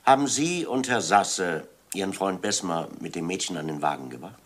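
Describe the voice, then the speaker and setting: An actor playing a calm police inspector in a crime radio play, questioning insistently and deliberately. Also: male voice, low-pitched, distant mic. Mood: grave, insistent. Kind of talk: dramatic radio play acting.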